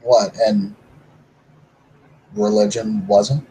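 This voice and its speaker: A man playing a low-voiced, musing werewolf. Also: flat delivery, mellow, husky, full character voice, poor audio. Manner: measured, muses with hums and pauses. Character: male werewolf warrior, measured and reasoning. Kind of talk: tabletop roleplay in character.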